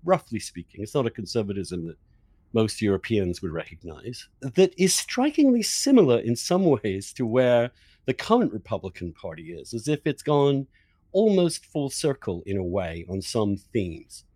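A man's voice: medium pitch, wildly swooping pitch, normal speed, dark tone, breathy edge, no echo. A man hosting an interview in a conversational, discursive way, thinking aloud and repeating words for emphasis. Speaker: interviewer, podcast host.

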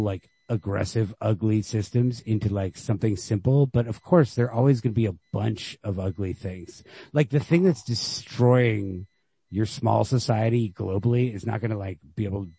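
Gravelly, husky man in casual discussion. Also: lowish voice, mellow, no room sound. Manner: halting, filler-heavy with frequent "like", casual swearing. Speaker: podcast host discussing short stories.

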